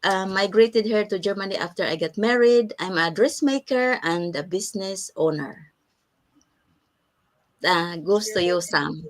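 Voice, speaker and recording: Eager loud woman from the philippines, guest on a video call. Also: medium pitch, measured pace. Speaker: panel guest, dressmaker.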